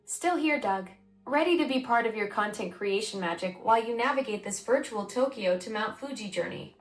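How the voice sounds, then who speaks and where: A woman's voice: fairly high pitch, lively intonation, moderate pace, faint music behind. Effusive AI woman's voice, roomy and distant. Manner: effusive, eager, praising. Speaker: AI voice assistant co-host. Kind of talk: conversational chat. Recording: roomy voice from off the mic.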